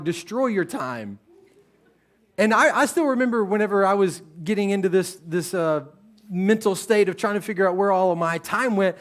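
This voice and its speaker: High-voiced pastor preaching conversationally. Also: male voice. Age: 30s. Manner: conversational, joking with the congregation. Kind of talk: sermon. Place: church stage.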